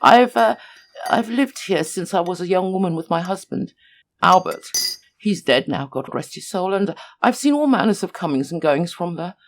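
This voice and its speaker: A woman's voice: on the low side, expressive, moderate pace. A woman voicing an elderly, gossipy widow in a storybook-style horror tale, meandering and fond in her way of talking. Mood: conspiratorial. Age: older. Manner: meandering, hesitant, addresses listener fondly. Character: elderly gossipy widow neighbour, conspiratorial, doting. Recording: music under the voice.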